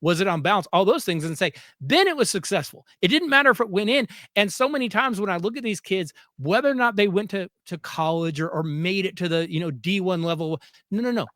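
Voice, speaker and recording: Guy podcast host, voice a bit high, dry close sound, really hammers his points by repeating stuff. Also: animated, brisk, a bit bright, dry recording. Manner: emphatic, repeats phrases for stress. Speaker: podcast host, former coach. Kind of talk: conversational interview discussion.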